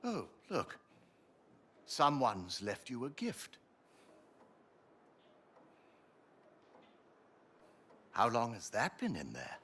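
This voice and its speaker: An actor playing a fussy, genteel older British academic, concerned and expressive, in a cinematic action-adventure game. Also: male voice, mid-range voice, takes it slowly, a little hiss or hum, exaggerated voice. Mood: concerned, curious.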